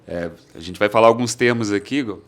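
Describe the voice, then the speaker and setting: A Brazilian man hosting a podcast interview in a relaxed, informal way, with quick affirmations, recorded in a podcast studio. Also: on the low side. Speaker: podcast host.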